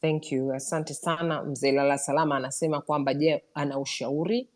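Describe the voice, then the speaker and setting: A woman hosting a live online discussion, summarizing points quickly with frequent fillers and inviting questions. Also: deep voice, steady tone. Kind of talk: moderating a live audio discussion. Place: online audio room.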